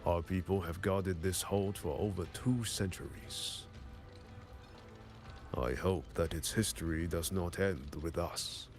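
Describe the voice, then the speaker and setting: A man acting a grave, resolute commander of an old hold in a video game, speaking in a measured, solemn way. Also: sits low, soft background music. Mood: grave resolve. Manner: measured, solemn, deliberate delivery.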